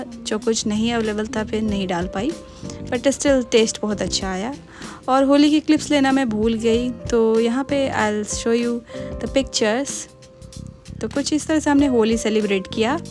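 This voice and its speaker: A woman's voice: on the high side, a little slow, music in the background. Chatty young woman narrating her cooking. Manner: chatty, mixes in English words. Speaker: cooking vlogger. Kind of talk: casual voice-over narration of cooking.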